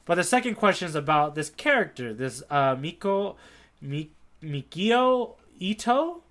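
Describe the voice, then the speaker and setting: A man's voice: high-pitched, sing-song, measured pace, a bit bright. A man co-hosting a podcast in casual chat about manga, joking and acting out imagined quotes. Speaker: podcast co-host.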